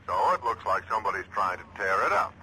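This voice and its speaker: An actor playing a businesslike, worried insurance adjuster in a 1950s radio drama, punchy and dramatic. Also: male voice, fairly low pitch, big pitch swings, fast talker, pushed and bright, heavily acted. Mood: urgent, dry. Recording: noisy vintage radio recording.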